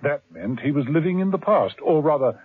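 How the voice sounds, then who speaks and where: A man narrating a 1970s radio drama in a wry, measured way. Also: a little high, very animated, slightly rough. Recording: tinny lo-fi old radio broadcast.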